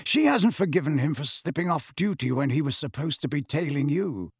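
Blunt, challenging adult male storybook godfather, done by a male reader; expressive range, dry studio sound. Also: moderate pace, slightly rough, no echo, exaggerated voice. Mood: muttering. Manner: low-key, blunt. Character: frank, challenging grown man, a godfather.

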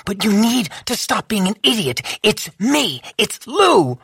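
A fiery young woman, all nerve and bite, swearing as she fights, as an audiobook reader puts her on. The voice sits high and husky, close and dry, pleading hard and pushing fast. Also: sing-song, fairly bright tone, no echo, exaggerated voice. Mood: angry, urgent. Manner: raised pitch for the character, urgent and pleading. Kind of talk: audiobook character voice.

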